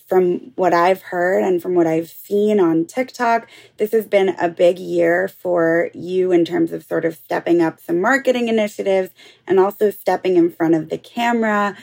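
A woman's voice: lowish voice, measured pace, creaky, a little mellow. A woman interviewer asking long, winding questions full of fillers.

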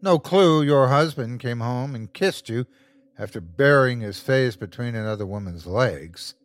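Man voicing a gloating sadistic creature, wildly swooping pitch. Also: lively intonation, no echo, lightly acted. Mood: cruel mockery. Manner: gloating, drawn-out mocking delivery. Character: sadistic taunting male creature, gloating tormentor. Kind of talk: horror audiobook character voice.

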